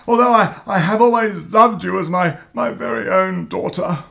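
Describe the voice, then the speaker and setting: A woman playing a remorseful mother in a melodramatic radio soap, with a creaky voice. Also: mid-range voice, normal speed, fairly bright tone. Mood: solemn confession.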